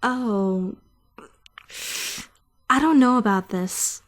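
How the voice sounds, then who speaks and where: Girl acting as prim awkward young trainee, slow bookish narration for a visual novel, faint music behind. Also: a little high. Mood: reluctant. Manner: formal, bookish diction. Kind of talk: first-person visual novel fan dub.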